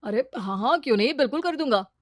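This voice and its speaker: A woman voicing an arrogant, fickle husband, boastful and wheedling, in an animated story. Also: high voice, fast talker, no echo, studio quality, pitched above the performer's own voice. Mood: eager. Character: arrogant, fickle, money-proud husband, easily smitten.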